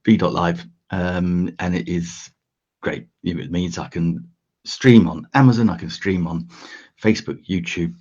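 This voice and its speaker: A deep-voiced man from the UK hosts a casual how-to live stream from a home setup. His voice is croaky and husky, and he rambles along with fillers and restarts. The desk-mic sound is slightly dull. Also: steady tone, unhurried, a little mellow. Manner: chatty, filler-heavy, restarts often. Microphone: desk USB microphone.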